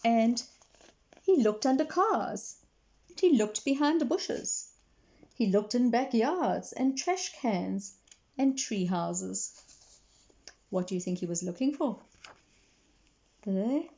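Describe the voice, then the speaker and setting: A woman reading a children's story expressively, pausing to explain and put questions to young listeners. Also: mid-range voice, animated, darkish tone, muffled recording. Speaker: read-aloud storyteller for children.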